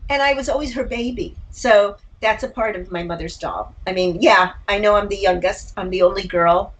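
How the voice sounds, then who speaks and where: A woman's voice: mid-range voice, moderate pace, low-quality recording. Older woman, reflective and candid. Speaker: interview guest, writer and actor. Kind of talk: reflective personal interview.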